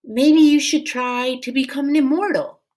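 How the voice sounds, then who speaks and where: A woman's voice: high-pitched, normal speed, darkish tone, pitched above the performer's own voice, natural voice. A woman voicing an earnest young son in a folktale. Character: earnest young son advising his father.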